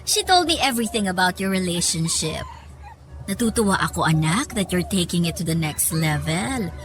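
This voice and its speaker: A woman acts a haughty middle-aged mother in a radio drama, two-faced and condescending. Her pitch swings widely and theatrically, and music plays under her voice. She slips English into her talk. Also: animated, moderate pace, natural voice. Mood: fake cheer.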